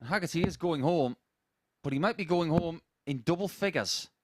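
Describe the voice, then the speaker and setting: A man's voice: moderate pace, no echo. A man giving live darts commentary, analysing play and chatting with his co-commentator. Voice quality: deep voice. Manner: conversational analysis, with asides to a co-commentator.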